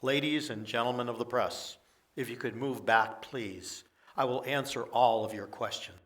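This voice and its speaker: A man playing a formal senior diplomat reading an official statement to the press in a radio play, deliberate in delivery. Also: medium pitch, fairly bright tone, soft background music, natural voice. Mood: formal, insistent. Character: formal United Nations Secretary General addressing press. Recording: distant from the microphone.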